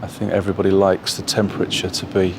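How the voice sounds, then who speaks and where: A man who works in a shared office, answering interview questions in a measured way with frequent "um" pauses. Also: sits low, monotone, background noise. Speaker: office worker.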